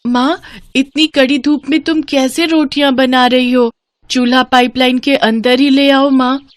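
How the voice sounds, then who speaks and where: A woman voicing a caring little girl, high voice. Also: fairly even intonation, measured pace, no room sound. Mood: worried.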